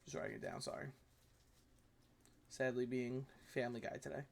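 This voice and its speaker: Man, New York accent, casual history commentary, loose and rambling, quick apologies. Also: medium pitch, flat delivery, creaky, a little mellow. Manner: rambling, self-correcting, apologetic asides. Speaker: history video host. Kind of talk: casual commentary reviewing a video.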